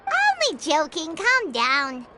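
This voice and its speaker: A woman's voice: huge pitch swings, takes it slowly, heavy background noise, full character voice. A woman voicing a small, chirpy, childlike fairy companion in a video game. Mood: teasing. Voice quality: squeaky, childlike. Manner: exclamatory, bubbly. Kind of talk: English video game voice acting. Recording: loud music behind voice. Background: music.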